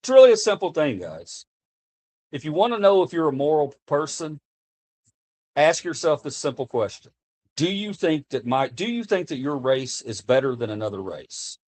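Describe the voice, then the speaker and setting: A man's voice: on the high side, big pitch swings, normal speed, darkish tone. American man ranting earnestly to his chat. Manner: earnest, impassioned, repeats himself while speaking off the cuff. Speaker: livestreamer talking to chat. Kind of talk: casual live-stream chat. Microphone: streaming desk mic.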